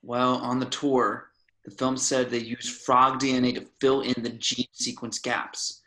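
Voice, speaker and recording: A man playing a patient scientist who explains things in a halting, understated way, with a creaky voice, in an amateur screenplay table read. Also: mid-range voice, little pitch movement, bright, forceful tone, echoey room, low-quality recording. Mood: explaining. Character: male scientist patiently explaining, quietly amazed.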